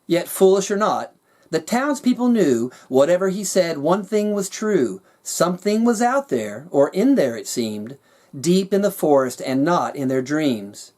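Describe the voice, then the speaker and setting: A man's voice: fairly high pitch, very animated, unhurried, darkish tone. Man reading a rhyming storybook tale. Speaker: author reading their own work. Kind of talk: storybook narration in rhyming verse.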